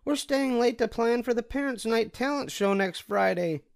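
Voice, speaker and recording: A man's voice: very high voice, gravelly, smooth, dry recording, studio quality, pitched above the performer's own voice. A man voicing a curious, cheeky young boy bear cub in a storybook reading. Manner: quick wisecracking kid voice. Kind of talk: storybook read-aloud.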